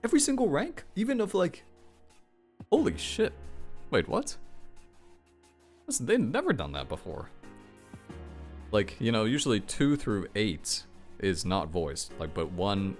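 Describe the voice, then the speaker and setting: A man with a low, lively voice reacts casually to video game news. He thinks aloud, swears freely and exclaims, his pitch swinging widely. Faint music plays behind him. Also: a little slow, long pauses.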